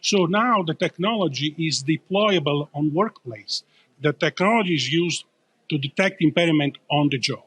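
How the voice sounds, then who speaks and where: A man's voice: on the high side, normal speed, a bit bright, breathy edge. A man with an Italian accent, explaining his company as a founder being interviewed, in an emphatic, explanatory way. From Italy.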